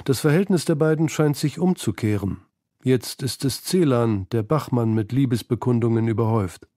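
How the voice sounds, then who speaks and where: A man's voice: medium pitch, normal speed, warm tone, no echo, clean studio sound. A man reading scripted narration for a literary documentary feature.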